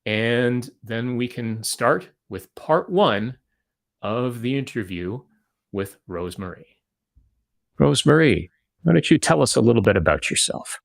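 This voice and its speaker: A man hosting an interview over a video call, measured and inviting as he sets up questions. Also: medium pitch, lively intonation, creaky. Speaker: host.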